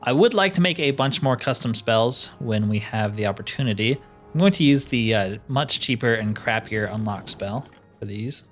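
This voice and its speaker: A man's voice: medium pitch, lively intonation, moderate pace, fairly bright tone. Casual wry man over game music. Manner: wry, thinking aloud. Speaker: gaming video host. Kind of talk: casual solo video game playthrough commentary.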